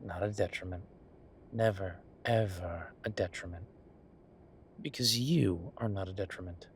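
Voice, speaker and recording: A man playing an affectionate, teasing chef boyfriend in an intimate roleplay audio, talking in a conversational, reassuring way with a husky voice. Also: sits low, a little slow, slight background noise. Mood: tender reassurance. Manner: conversational, reassuring, playfully bantering. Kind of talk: intimate one-sided boyfriend roleplay acting.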